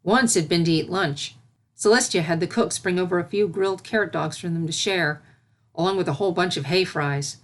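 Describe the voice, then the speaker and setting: A woman's voice: lowish voice, fairly quick. A woman reading scripted story narration as the narrator.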